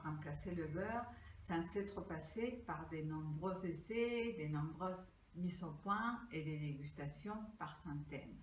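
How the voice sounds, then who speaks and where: An older woman from Peru, a pastry cookbook author, gives an earnest, deliberate crowdfunding pitch, reading partly from her notes. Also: slight background noise. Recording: echoey room, mic at a distance.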